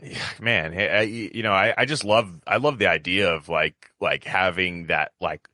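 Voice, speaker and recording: Deep-voiced man with a bright, forceful edge. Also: dry recording, clean studio sound. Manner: enthusiastic, halting, repeats words. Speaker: podcast co-host. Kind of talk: casual podcast discussion.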